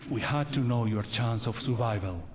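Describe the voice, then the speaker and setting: An actor playing a solemn guide who recounts dark legends in a grave, formal and deliberate way for a fantasy game. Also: male voice, mid-range voice, a little hiss or hum, close to an everyday voice. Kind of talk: dramatic fantasy game narration. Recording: long reverb, music behind.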